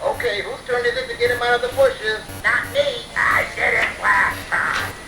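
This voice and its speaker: A woman voicing a silly, wisecracking reindeer in a children's talking storybook, with sassy, deadpan teasing. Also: a little high, moderate pace, natural voice. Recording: echoey playback with music behind.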